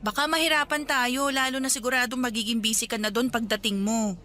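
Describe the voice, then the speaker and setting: A woman's voice: a little high, steady tone, fairly quick, sharp tone, slight background noise. An actress with a Filipino accent playing a guarded, anxious young woman in love in a Tagalog radio romance drama. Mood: guarded, wistful.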